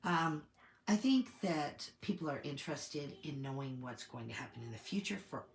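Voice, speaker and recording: A woman with a low voice, a little hoarse from a lingering cold, talks through her opinions in a measured, thinking-aloud way. She sounds like a casual commentary host. The recording carries a roomy echo. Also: lively intonation. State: getting over a cold, slightly hoarse.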